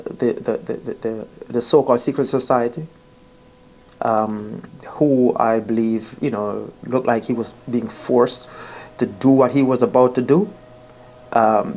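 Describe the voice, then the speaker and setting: Mellow-voiced man, earnest storyteller. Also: medium pitch. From Jamaica. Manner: earnest, emphatic, repeats phrases, often addresses listeners directly. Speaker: vlogger. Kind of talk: informal personal storytelling to viewers.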